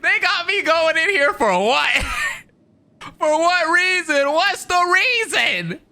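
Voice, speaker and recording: A woman's voice: extremely high pitch, big pitch swings, slow, bright, forceful tone. A young woman doing casual, teasing, slangy live commentary as a gaming streamer reacting to a game.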